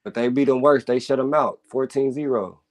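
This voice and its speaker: A man's voice: mid-range voice, a little fast. A man on a sports talk panel, chiming in bluntly in casual speech. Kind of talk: casual chat.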